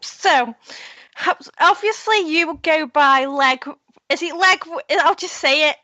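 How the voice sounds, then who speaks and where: A woman with a high, bright voice hosts an online radio show with a loose, giggly manner. Her audio is dry and close but slightly muffled and lo-fi. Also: slow, no room sound. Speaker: host.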